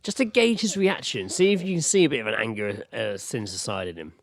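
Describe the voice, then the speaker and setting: Male co-host, close dry sound, rapid delivery, casual wry humour and plenty of swearing. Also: medium pitch, lively intonation, vocal fry, no echo. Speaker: podcast co-host. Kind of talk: casual comic chat and banter.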